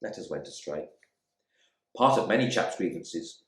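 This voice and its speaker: A man's voice: sits low, expressive, normal speed. A man performing a dramatic monologue as a proud, resentful airman in prison, in clipped, brooding phrases. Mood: ashamed, reflective. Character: embittered English RAF airman imprisoned in India, proud and resentful. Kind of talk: dramatic monologue reading from a novel. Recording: echoey room.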